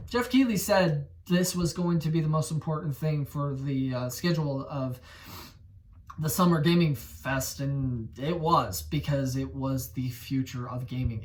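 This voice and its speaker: A man in his twenties hosting a gaming channel, talking in casual commentary that rambles, gets excited and corrects itself. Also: high-pitched, recorded from a distance. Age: 20s.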